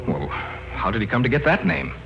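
A man's voice: mid-range voice, big pitch swings, moderate pace, creaky. An actor playing an uneasy skeptical man, dismissive and incredulous, in 1950s radio drama style. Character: skeptical, uneasy man hearing a ghostly rumour. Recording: very noisy, muffled, echoey old radio.

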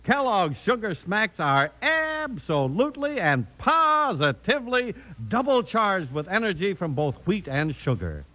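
Casting: male vintage radio announcer, bright forceful tone, swooping delivery, hearty salesman enthusiasm. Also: sits high, very animated, a little slow, few pauses. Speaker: announcer and narrator.

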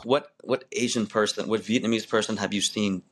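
A man in his forties with a low voice talks through his story on a podcast, circling back with restarts and "you know"s, laughing now and then. It is relaxed, unscripted interview talk. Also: fairly quick, a little hiss or hum. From born in Malaysia, raised in the United States. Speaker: wrestling referee, podcast guest.

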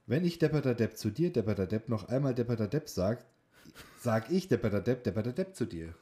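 A man's voice: medium pitch, sing-song, fairly quick, mellow. A man co-hosting a podcast, chatting in an informal, bantering way. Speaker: podcast co-host; student in a speech training class. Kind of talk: casual podcast chat with tongue-twister game.

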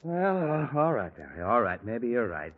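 Man acting fussy headachy father, 1940s radio sitcom, lively swooping pitch, lo-fi broadcast sound. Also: moderate pace, close to an everyday voice. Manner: blustering, fussy, flustered outbursts. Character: exasperated middle-aged father with a headache. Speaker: radio actor.

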